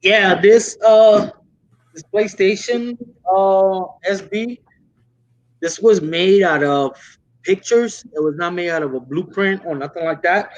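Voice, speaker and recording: A man casually explaining sneakers in quick, rambling stretches. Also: sits high, dull audio. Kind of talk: casual chat.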